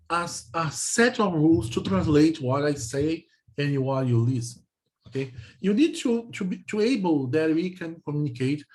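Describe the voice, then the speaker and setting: A man with a Brazilian accent, a native Portuguese speaker, gives a technical lecture in halting non-native English, repeating himself and often checking in with short questions. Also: on the high side.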